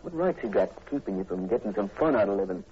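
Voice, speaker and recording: A man's voice: lowish voice, expressive, fast talker, mellow, slightly rough. An actor playing an indignant young country man from Louisiana with a Southern American accent and folksy, earnest speech in a 1940s radio drama. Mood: indignant, protective. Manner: folksy, ungrammatical, earnest drawl. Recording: muffled old radio recording with faint hiss.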